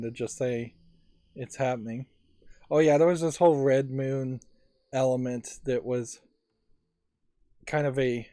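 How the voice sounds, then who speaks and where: Male let's play host, mellow medium-pitched voice, casual analytical commentary, musing, soft music behind. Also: dry recording. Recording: faint game music behind.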